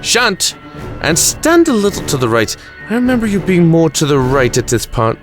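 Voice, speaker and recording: A man's voice: on the high side, sing-song, normal speed, soft background music. A man playing a sardonic, fatalistic time-travelling alien lord in an audio drama, wry and flippant in manner. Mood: flippant, defiant.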